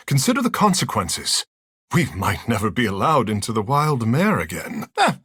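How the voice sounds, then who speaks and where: A man's voice: medium pitch, a bit bright, no echo, clean studio sound. An actor voicing a vain, charming young sea-blessed fish-man in a video game, with theatrical, grandiloquent, teasing delivery and formal phrasing. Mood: reproving, then wry, amused. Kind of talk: video game character dialogue.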